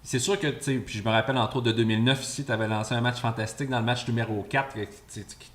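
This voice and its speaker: A man's voice: mid-range voice, steady tone, fast talker, roomy sound. A man with a Quebec French accent, hosting a podcast and asking long, winding questions in a conversational way. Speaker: podcast host. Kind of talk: casual podcast interviewing.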